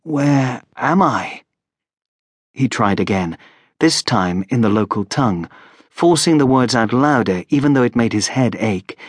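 A man's voice: fairly low pitch, lively intonation, moderate pace, no room sound. A man reading scripted fantasy narration as an audiobook narrator.

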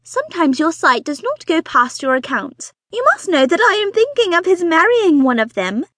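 A woman putting on a shrill, gushing matchmaking mother. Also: sing-song, moderate pace, a bit bright, no echo, clean studio sound, full character voice. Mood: excited, gushing. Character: excitable fussy middle-aged mother, matchmaking wife.

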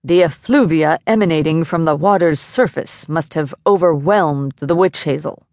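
A woman's voice: sits low, moderate pace, a little mellow, dry recording, clean studio sound. Woman putting on a pompous, flustered male dowser. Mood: flustered, insistent. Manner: wordy, formal diction.

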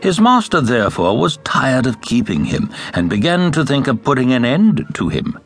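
A man reads a scripted fairy tale with music faintly behind him. His delivery is expressive, and his pitch rises and falls widely as he tells the story. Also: normal speed. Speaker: audiobook narrator.

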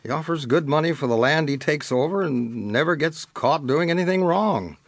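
Resigned western sheriff, dry sound. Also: male voice, on the high side, normal speed, dry recording. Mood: resigned, cautious. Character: resigned, cautious male small-town Old West sheriff. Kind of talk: dramatized western audiobook acting.

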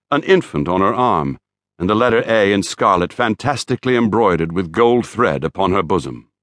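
A man narrating a classic novel as an audiobook, in a formal, measured and expressive storytelling manner. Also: sits low, dry recording, clean studio sound. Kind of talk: scripted literary narration.

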